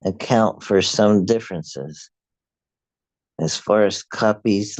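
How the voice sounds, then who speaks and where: A man with an Afrikaans accent, a native Afrikaans speaker, reading a prepared commentary aloud in a measured, halting way. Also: fairly low pitch, warm tone, no room sound, low-quality recording. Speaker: video host.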